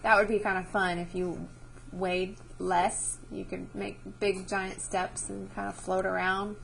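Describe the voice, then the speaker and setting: A woman's voice: medium pitch, little pitch movement, measured pace, vocal fry, fairly bright tone, slight background noise, poor audio. A woman teacher reading a science book aloud to children, explaining as she goes and checking understanding with short questions.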